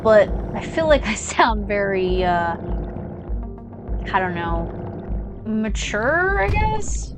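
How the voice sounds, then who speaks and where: A young woman chatting casually while playing a video game, rambling with lots of fillers and side tangents. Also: mid-range voice. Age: young adult. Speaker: gaming channel host. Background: game music.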